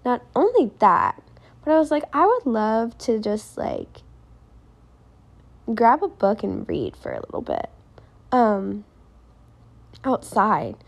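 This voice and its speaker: A young woman chatting alone on her podcast, her voice a bit raspy today and fried at the edges. She sits a little above the usual pitch for a woman and talks in a relaxed, reflective way, voicing her own thoughts as she goes. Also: slow, pauses often. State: voice more raspy than normal. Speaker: podcast host. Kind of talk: casual solo podcast talk.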